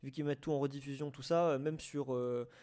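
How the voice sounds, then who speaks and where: Dark, mellow-voiced man debating casually. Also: medium pitch, fairly even intonation. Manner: informal, halting, repeats phrases. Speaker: debate participant.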